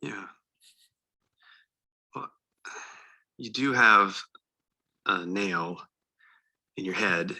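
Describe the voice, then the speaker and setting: An actor playing a boyfriend who calmly and matter-of-factly keeps trying to fix things. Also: male voice, low voice, extremely slow, pauses often, exaggerated voice, low-quality recording. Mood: insistent. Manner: calm, matter-of-fact, mildly insistent.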